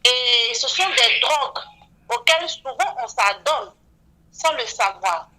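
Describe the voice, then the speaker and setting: Earnest woman, 40s, bright higher voice, radio guest who leans on fillers. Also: animated, slow pace, rough recording. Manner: earnest, frequent "voilà" fillers. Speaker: beautician guest.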